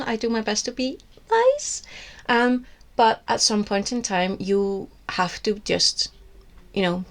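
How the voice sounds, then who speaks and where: A woman from Iceland with an Icelandic accent, whose first language is Icelandic, candidly reviewing books on her vlog in a wry, rambling way with many restarts. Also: on the high side. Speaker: book vlogger. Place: seated indoors with books on her lap.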